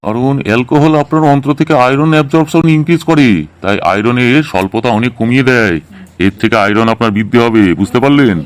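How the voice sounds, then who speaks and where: Man playing a fast-talking, exasperated cartoon doctor. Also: medium pitch, clear, resonant tone, natural voice. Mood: brisk. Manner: blunt, scolding, colloquial. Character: exasperated, money-minded male cartoon doctor. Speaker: cartoon voice actor. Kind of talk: comic Bengali cartoon dialogue.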